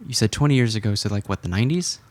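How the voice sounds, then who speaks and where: A man's voice: on the low side, racing, no echo. A man hosting a casual interview, listening closely and prompting with frequent short acknowledgments. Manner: attentive listener, frequent backchannel. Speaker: podcast host.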